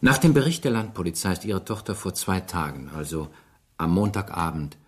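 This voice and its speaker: An actor playing a polite but persistent police inspector in a German radio crime drama, speaking formally and with measured care. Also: male voice, low-pitched, slightly rough. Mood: polite, probing. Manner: formal, measured, hesitant fillers.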